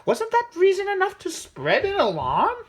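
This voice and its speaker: A man voicing a big, excitable crow who stammers nervous excuses. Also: very high voice, normal speed, voice pushed up, full character voice. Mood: flustered, defensive. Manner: stammering, flustered.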